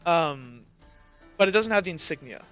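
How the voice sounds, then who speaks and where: A man's voice: a little high, lively intonation, normal speed, fairly bright tone, clean tone, lo-fi sound, faint music behind. A man improvising tabletop game narration as game master in a casual, rambling way.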